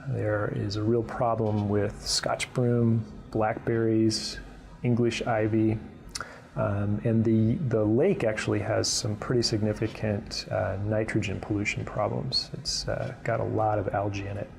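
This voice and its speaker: A man with a low voice that carries a strong gravelly fry. He talks as a college instructor, explaining things informally and earnestly, as if in an interview. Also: level tone, unhurried, darkish tone.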